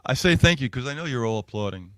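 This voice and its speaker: A man's voice: medium pitch, lively intonation, fast, dry recording. A man who is a singer-songwriter talks casually between songs at a livestreamed gig, rambling and self-effacing.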